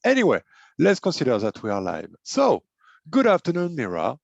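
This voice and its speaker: A man's voice: mid-range voice, huge pitch swings, moderate pace, creaky, dry recording, lo-fi sound. A man who is not a native English speaker hosts an online interview, talking hesitantly with many fillers and repeated words. He works as a life and business coach. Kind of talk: casual interview chat.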